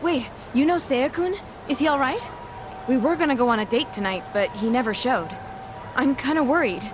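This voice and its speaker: A woman's voice: sits high, lively intonation, normal speed, noisy background. A woman playing a sweet, devoted, worrying young woman in a video game dub. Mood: worried.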